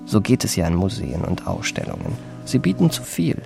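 An actor playing a cultivated confidence man who recounts his youthful adventures in ornate, deliberate literary narration for a radio drama. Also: deep voice, wildly swooping pitch, gravelly, darkish tone, faint music behind, heavily acted. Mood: self-satisfied, reflective. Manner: ornate, elaborate, deliberate phrasing.

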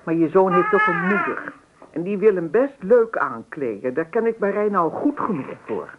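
An older grandmother in a radio play, fussing and clucking with reproach. Her delivery is lively and swooping. The sound is tinny and narrow, with clear noise over it. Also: lowish voice, normal speed. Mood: reproachful. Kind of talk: radio drama acting. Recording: tinny, narrow-band, noisy old radio recording.

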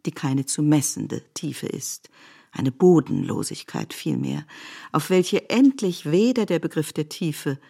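A woman narrating scripted literary prose for radio in a measured, formal, essay-like manner. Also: sits low, expressive, creaky, warm tone, husky.

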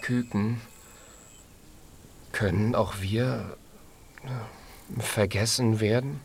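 Hesitant, gloomy little piglet. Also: male voice, lowish voice, fairly even intonation, very slow, vocal fry, a little hiss or hum, full character voice. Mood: anxious, earnest. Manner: hesitant, downcast questioning. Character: gloomy, anxious little piglet, childlike and earnest. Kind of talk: children's radio play acting.

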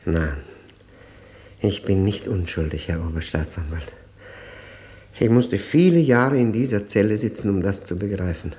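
A man playing an anguished, guilt-ridden middle-aged prisoner in a 1960s radio drama, speaking in a halting, solemn, haunted way. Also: deep voice, expressive, warm tone. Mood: solemn, resigned. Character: anguished, broken, guilt-ridden male long-term prisoner confessing.

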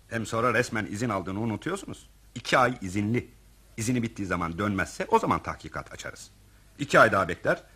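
An actor in a radio play voicing a callous, self-serving office director who talks in an officious, evasive, digressive way. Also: male voice, mid-range voice, bright, forceful tone, slightly rough, exaggerated voice. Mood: dismissive. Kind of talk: radio theatre acting.

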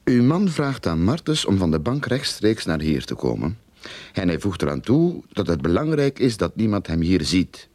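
A cocky Flemish private eye in a radio play. His voice is low and husky, and it swings widely as he probes and explains with sardonic self-assurance. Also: sing-song, normal speed, darkish tone, no echo. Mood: probing, insistent. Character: cocky, sardonic male private detective who theorizes boldly. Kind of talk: radio drama acting.

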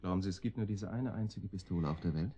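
Man acting deep, laconic gangster guard. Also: quick pace, heavy background noise, close to an everyday voice. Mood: curt menace. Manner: laconic, dry, gruffly commanding. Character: laconic, dryly ironic gangster guarding a captive. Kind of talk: German radio drama acting.

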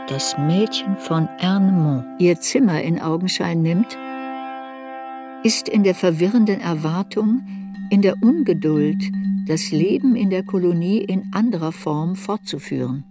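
An actress playing a reflective woman looking back on a troubled youth, narrating a radio drama in a measured, introspective way. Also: lowish voice, close to an everyday voice. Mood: grave, introspective. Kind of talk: literary radio drama narration, first-person memoir. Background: music.

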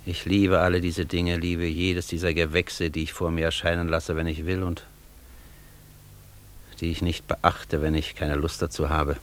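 An actor playing a proud, worldly scholar in a theatrical radio play, speaking with assured, ironic declamation. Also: male voice, low-pitched, level tone, normal speed, close to an everyday voice. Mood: proud, reflective.

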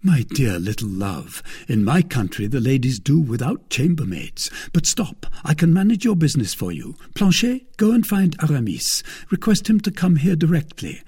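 Man playing a gallant, animated young soldier. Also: on the high side, moderate pace, few pauses, slightly rough, pitched above the performer's own voice, exaggerated voice. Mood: brisk. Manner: animated, authoritative. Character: gallant, commanding young gentleman soldier, reassuring and brisk. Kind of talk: dramatised audiobook reading.

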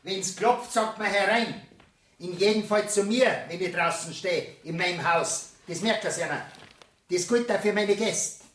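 An actor playing a grumpy, threatening landlord in a radio play, blustering in Bavarian dialect. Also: male voice, high-pitched, a little slow, a bit bright, roomy sound, slight background noise. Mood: indignant, threatening. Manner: gruff, indignant, blustering. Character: grumpy, threatening landlord demanding his rent.

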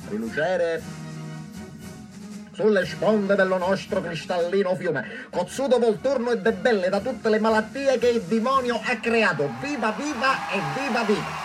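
An actor playing a pompous, bombastic small-town official, orating grandly in a satirical radio drama with mangled flowery rhetoric. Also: male voice, high-pitched, measured pace, music in the background. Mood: exultant.

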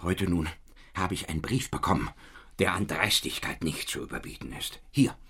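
An actor playing an indignant, excitable middle-aged French father in a radio drama, insistent and husky. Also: sits low, normal speed, full character voice. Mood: aggrieved, insistent.

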